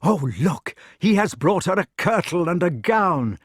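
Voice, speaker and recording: A man voicing an excitable, fretful old king who cries out in a high, breathless voice. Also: measured pace, husky, dry recording, studio quality, heavily acted. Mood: excited. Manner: high-pitched, breathless, exclaiming.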